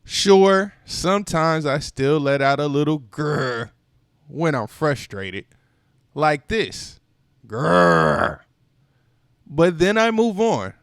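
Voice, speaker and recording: Expressive man reading a storybook aloud. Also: fairly high pitch, slow pace, smooth, no room sound, studio quality. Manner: expressive and playful, with voiced sighs and exclamations. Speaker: storytime reader. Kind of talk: children's read-aloud narration.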